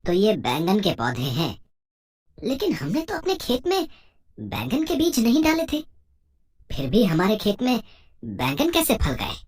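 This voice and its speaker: A woman voicing a humble, puzzled village farmer and husband in a moral story, with a simple, expressive delivery. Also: mid-range voice, measured pace, long pauses, husky, full character voice. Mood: puzzled, amazed. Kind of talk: moral story cartoon dialogue.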